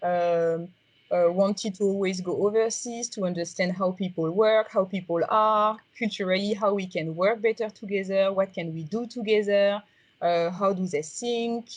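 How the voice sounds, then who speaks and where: A woman with a strong French accent, answering interview questions in a reflective, halting way with many ums and uhs. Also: medium pitch, no room sound. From France. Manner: halting, many fillers and restarts.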